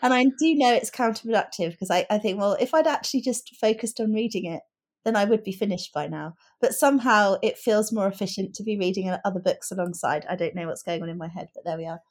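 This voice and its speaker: A woman's voice: medium pitch, fast. A woman co-hosting a casual book chat, speaking in articulate, considered phrases. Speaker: podcast co-host.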